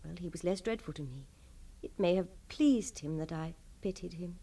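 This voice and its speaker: Tender gentlewoman, off mic. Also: lowish voice, moderate pace, breathy edge, far from the mic. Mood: impassioned, pitying. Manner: hushed to impassioned, theatrical delivery. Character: tender, earnest gentlewoman, devoted and compassionate. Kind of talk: literary radio drama acting.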